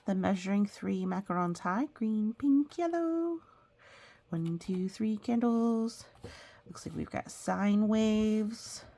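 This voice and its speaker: Woman reading to kids with creaky voice. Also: mid-range voice, warm tone. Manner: playful, exclaiming, chatting to herself between readings. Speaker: picture book reader for children. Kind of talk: children's read-aloud with chatty asides.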